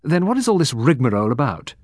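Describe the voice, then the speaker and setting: A man voicing an impatient, defiant young woman with a brisk, indignant manner in an audiobook. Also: medium pitch, big pitch swings, a little mellow, no echo, clean studio sound, pitched above the performer's own voice. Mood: sharp, impatient.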